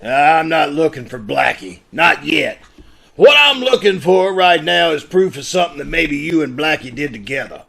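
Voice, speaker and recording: Man playing male police inspector, blustery, accusatory, higher-pitched, piercing, old-time radio drama. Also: animated, moderate pace, gravelly. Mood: suspicious, accusing. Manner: forceful, barking, accusatory. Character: blustery, suspicious male police inspector hunting fur thieves.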